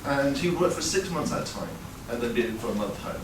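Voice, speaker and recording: A man's voice: medium pitch, animated, fairly quick, background noise. Man from england, storyteller, wry casual delivery, echoey hall, mic at a distance, audible ambience. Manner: conversational, wry, many fillers. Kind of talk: live personal storytelling. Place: performance venue before an audience. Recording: roomy live sound, mic far off.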